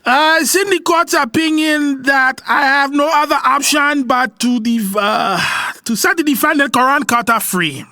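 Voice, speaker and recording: An actor playing a stern, irritated courtroom judge with an authoritative manner, in a dramatized audiobook. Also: male voice, extremely high pitch, normal speed, pushed and bright, no echo, heavily acted. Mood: formal, halting.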